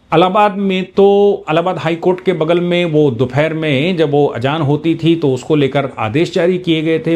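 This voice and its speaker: A man's voice: fairly high pitch, darkish tone. A man giving explanatory commentary on current affairs like a law teacher, emphatic and repeating phrases for weight.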